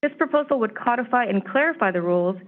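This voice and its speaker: A woman staff presenter giving a formal, measured briefing on proposed legislation, her voice slightly hoarse. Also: fairly high pitch. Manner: steady, measured, reads prepared remarks. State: slightly hoarse, clearing throat. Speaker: staff presenter at a commission meeting. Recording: tinny phone-line audio.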